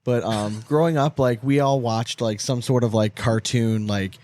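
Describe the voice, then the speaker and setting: An American man in his thirties hosts a loose, bantering interview show. He speaks casually at a middling pitch, joking in a crude way and often repeating a phrase for emphasis. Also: steady tone, moderate pace, darkish tone. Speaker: podcast host.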